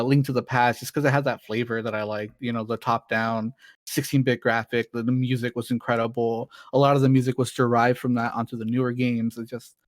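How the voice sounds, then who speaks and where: A man's voice: mid-range voice, dry recording, clean studio sound. A man in his late twenties, a streamer, chatting casually as an interview guest, rambling a bit and laughing easily. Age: 20s.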